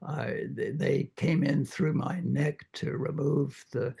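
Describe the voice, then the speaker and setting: Older male lecturer, husky and croaky, slightly high voice, halting delivery. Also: steady tone, warm tone. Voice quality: croaky after throat surgery. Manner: halting, many uhs and restarts. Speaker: literature professor leading a reading group. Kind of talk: informal lecture.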